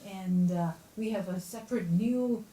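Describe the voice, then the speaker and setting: A woman hosting a radio show in two languages, talking rapidly and switching between them. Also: mid-range voice, warm tone, roomy sound, recorded from a distance. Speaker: radio host.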